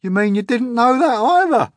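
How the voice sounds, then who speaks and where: A man voicing a rude, scornful centipede who mocks loudly. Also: very high voice, lively intonation, moderate pace, dry recording, voice pushed up. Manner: mocking, loud, rambunctious.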